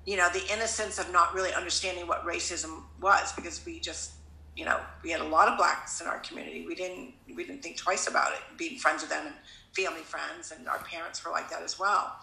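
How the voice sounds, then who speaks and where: A woman's voice: on the low side, fairly even intonation, a little fast, vocal fry, pushed and bright, reverberant, poor audio. A woman in her fifties from Connecticut, talking earnestly in a casual conversation, rambling and often restarting her sentences. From Connecticut, USA.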